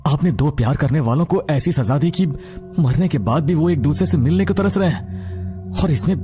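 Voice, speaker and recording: A man playing an earnest, protective young grandson and husband who speaks pleadingly in an animated horror story. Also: on the high side, moderate pace, over music, lightly acted. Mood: troubled, questioning.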